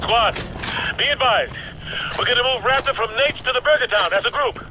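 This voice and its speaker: Tough army squad leader in a shooter game, yelling orders real high, loud gunfire everywhere. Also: male voice, normal speed. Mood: commanding. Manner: urgent, barking orders. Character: tough, commanding army sergeant in combat. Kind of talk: shouted military game dialogue. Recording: heavy gunfire over the voice. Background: loud gunfire and battle noise.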